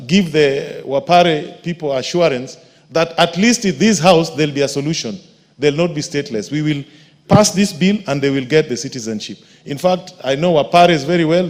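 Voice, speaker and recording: A man with a Kenyan accent making a formal, measured and deliberate debate speech as a member of parliament. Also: fairly high pitch, darkish tone. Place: indoor parliament chamber. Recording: echoey large debating chamber.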